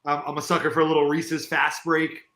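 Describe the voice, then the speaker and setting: A man co-hosting a podcast, asking quick, upbeat questions in casual chat. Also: a little high, monotone, sharp tone, echoey room. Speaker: podcast co-host.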